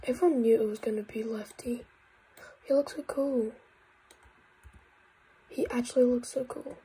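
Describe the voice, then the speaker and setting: Congested woman YouTuber, very husky and mellow tone, excitable gameplay chatter. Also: on the high side, a little slow. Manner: excitable, with frequent whispered asides. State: congested, croaky voice. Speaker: gaming YouTuber. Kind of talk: casual gameplay commentary.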